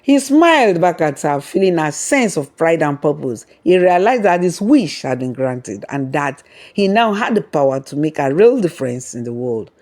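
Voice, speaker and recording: A storyteller narrates a folktale from a script. The voice sits at a middle pitch and tells the story as a read narrative. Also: very animated, moderate pace, darkish tone.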